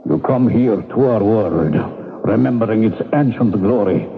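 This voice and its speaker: A tinny old radio recording of a scheming villain. He is cold and formal, with measured menace in a low voice that rises and falls freely. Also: expressive. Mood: coldly menacing. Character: cold, scheming male terrorist conspirator and poisoner. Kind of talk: vintage radio drama acting.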